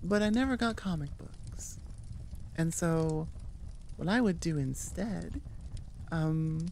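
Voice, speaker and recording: A woman creator chatting casually to her listeners in a rambling outro, halting and thinking aloud with self-aware asides. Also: fairly low pitch, warm tone, slight background noise. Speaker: audio roleplay creator and host.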